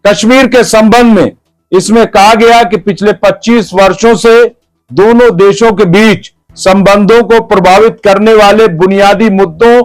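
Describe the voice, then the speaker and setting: High-pitched man, slow news narration. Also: clear, resonant tone, rough recording. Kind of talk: scripted news explainer narration.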